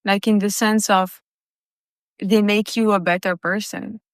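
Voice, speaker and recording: A woman hosting a casual conversational interview, speaking in a halting way. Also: medium pitch, fairly even intonation, no echo, studio quality. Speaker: podcast host.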